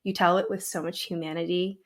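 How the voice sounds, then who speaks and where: A woman hosting a podcast interview, speaking earnestly and emphatically, slowing down to stress key words and often checking in with "right?". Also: on the low side, flat delivery. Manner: frequent "right?" and "um". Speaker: podcast host. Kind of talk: heartfelt interview conversation.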